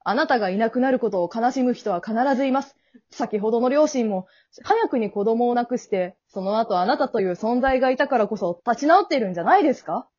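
A woman's voice: on the high side, expressive, quick pace, a little mellow, lo-fi sound. A woman acting in an amateur radio drama as a polite, kindly male guide of the afterlife, speaking in an earnest, businesslike, reassuring way. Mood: earnest, probing.

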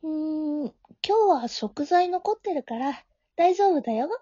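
Woman acting a high, sweet, naive young woman. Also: lively intonation, unhurried, mellow, no room sound. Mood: gentle, casual. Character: gentle, naive young woman, flustered older childhood friend. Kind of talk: amateur romantic audio drama acting. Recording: dull, lo-fi home recording.

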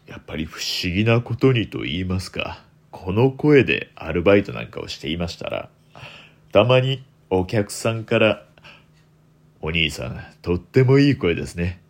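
A young man hosts a solo radio talk, sinking his voice into a deliberately put-on deep, velvety register. His pitch stays very low yet swings widely and expressively. He keeps a playful, self-mocking tone throughout. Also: gravelly.

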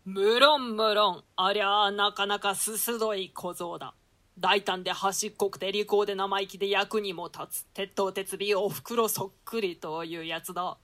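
Villainous duke for an archaic radio Shakespeare, woman actor: forceful bright tone, sly, ruthless, lordly. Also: mid-range voice, steady tone, normal speed, natural voice. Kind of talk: theatrical Shakespeare reading in archaic Japanese translation.

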